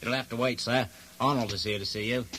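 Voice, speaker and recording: An actor playing an odd, servile manservant in a comic radio drama, theatrical and deferential. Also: male voice, medium pitch, steady tone, a little fast, pushed and bright, close to an everyday voice. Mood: obsequious. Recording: noisy vintage radio recording.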